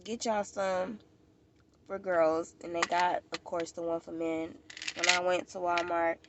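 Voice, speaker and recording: A woman's voice: lowish voice, little pitch movement, a little slow, noisy background. An American woman vlogger with a stuffy nose, chatting casually and informally to the camera, rambling and repeating herself. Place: outdoors at a park.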